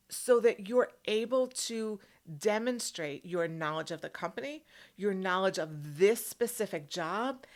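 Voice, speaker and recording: A woman working as a career coach, speaking straight to the viewer in an emphatic, instructional way and often checking in with short tag questions. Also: fairly low pitch. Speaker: career coach hosting an advice video.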